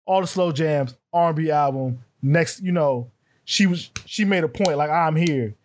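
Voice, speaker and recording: A man co-hosting a casual music podcast, animated and laughing often as he acts out quotes. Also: fairly high pitch, normal speed, a little hiss or hum. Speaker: podcast co-host. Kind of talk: casual chat.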